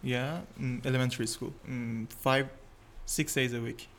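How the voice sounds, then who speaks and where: A man's voice: medium pitch, little pitch movement, unhurried. A man in his twenties from Tehran with an Iranian accent, a native Persian speaker answering interview questions hesitantly in a second language. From Tehran, Iran. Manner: hesitant, searching for English words, nervous. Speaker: interview guest. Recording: noisy background, poor sound quality.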